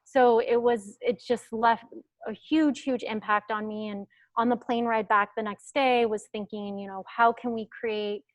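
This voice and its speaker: Flat-voiced woman telling a story. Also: fairly high pitch, measured pace, no room sound, dull audio. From United States, Pacific Northwest. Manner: thoughtful storytelling with many fillers. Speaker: guest speaker, travel company founder. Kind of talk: webinar talk.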